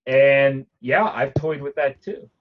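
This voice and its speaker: A man's voice: medium pitch, slow pace, highs cut off, a little hiss or hum. A man co-hosting a casual talk show about collecting, rambling with frequent restarts and fillers. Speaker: podcast co-host.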